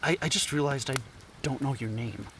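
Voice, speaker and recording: A man's voice: mid-range voice, moderate pace, background noise, lightly acted. A man playing an earnest, nervous anthropologist in an audio drama, with a hesitant, stammering way of talking. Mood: curious, hesitant. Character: earnest, nervous male anthropologist, apologetic outsider.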